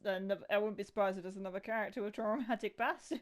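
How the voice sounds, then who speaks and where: A woman's voice: mid-range voice, fairly even intonation, quick pace. Sarcastic, sweary woman doing game commentary. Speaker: gaming video creator reacting to a game. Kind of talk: casual let's play commentary.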